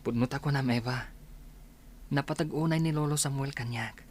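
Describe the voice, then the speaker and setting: A man's voice: mid-range voice, moderate pace, lightly acted. Grateful, modest young doctor, male actor, Ilocano accent, radio drama, slight background noise. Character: humble, grateful young man becoming a doctor.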